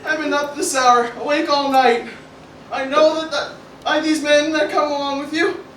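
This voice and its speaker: Woman as bright, high, anxious teenage boy. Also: flat delivery, moderate pace, slight background noise. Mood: brooding. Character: anxious, reluctant teenage cartoon grandson. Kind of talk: mock-Shakespearean parody radio play read aloud. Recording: roomy, distant amateur group recording.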